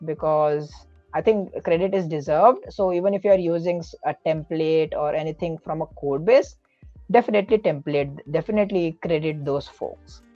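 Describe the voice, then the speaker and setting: A man's voice: on the high side, moderate pace, mellow, no room sound, lo-fi sound, faint music behind. A man speaking as a podcast guest, giving earnest, explanatory advice in a casual way, often correcting himself mid-sentence.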